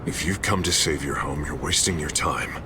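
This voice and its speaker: An actor playing a self-righteous, menacing and unstable city mayor, with a gravelly voice and grandiose delivery in a video game drama. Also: male voice, a bass voice, moderate pace, noisy background. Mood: cold, resolute. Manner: grandiose, forceful declarations.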